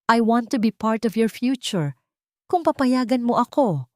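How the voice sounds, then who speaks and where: A woman's voice: on the high side, animated, a little slow, mellow, dry recording, pitched above the performer's own voice. Audio story suitor, played by a female narrator: young man in love, earnest, tender, pleading, close and dry. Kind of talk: romantic audio story dialogue.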